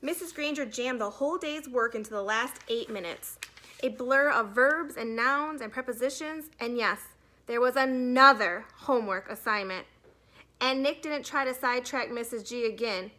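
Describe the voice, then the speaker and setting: Woman, storybook narrator, teacher reading aloud, fairly high pitch, bright, expressive. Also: normal speed.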